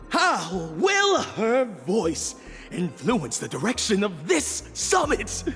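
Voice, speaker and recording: An actor playing a showy, glib bird who hosts a show, with theatrical, drawn-out announcing and chuckles in a game. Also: male voice, sits high, a bit bright, full character voice. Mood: grand, showy. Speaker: talk show host. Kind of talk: game voice acting, show hosting. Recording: long reverb, faint music behind.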